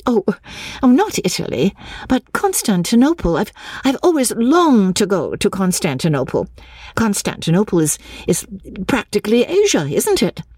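A woman voicing an excitable, desperate young Englishwoman in an audiobook, gushing breathlessly and stammering over repeated words. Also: on the high side, measured pace, husky, no room sound, clean studio sound, pitched above the performer's own voice, exaggerated voice. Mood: gushing, excited. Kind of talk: audiobook character dialogue.

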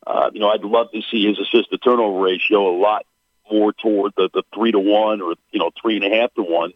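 A man's voice: fairly low pitch, fairly even intonation, brisk, no echo. A man calling in as a guest to a radio sports talk show, breaking down a basketball player bluntly with lots of "uh" and "you know". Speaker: basketball play-by-play announcer guest. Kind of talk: radio sports interview, analytical. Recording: tinny phone line.

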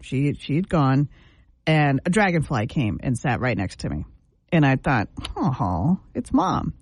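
A woman's voice: extremely low pitch, unhurried, breathy edge, no echo. A woman in her fifties co-hosting a morning radio show, chatting casually and laughing often as she tells stories. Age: 50s. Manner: giggly, animated storyteller.

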